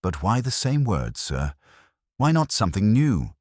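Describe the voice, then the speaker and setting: An insistent, excitable sergeant, voiced by a male narrator in a detective audiobook. He is deferential but eager, and his pitch moves around a lot. The voice is low and husky, recorded dry. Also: unhurried, no echo. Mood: persistent. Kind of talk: detective audiobook character reading.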